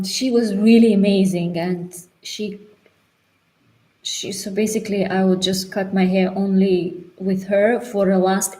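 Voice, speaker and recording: A woman from Britain in her thirties chats through a story on a casual livestream. Her voice is mellow and dark, and her talk is friendly and rambling, with repeated words and small restarts. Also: medium pitch, rough recording. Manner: chatty, laughs easily, repeats words. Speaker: co-host of a travel Q&A. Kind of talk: casual live chat.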